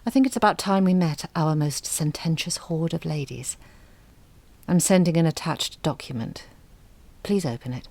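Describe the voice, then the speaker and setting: An earnest woman writer in an audio drama. Her voice sits low and stays measured. She sounds humble about herself yet quietly determined, with a pleading edge. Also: gravelly, dry recording. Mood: composed.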